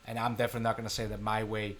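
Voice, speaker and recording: A middle-aged man from Denmark with a Danish accent, hosting a casual live software tutorial, thinking aloud in an informal, self-deprecating way. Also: lowish voice, little pitch movement, very fast. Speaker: tutorial live stream host.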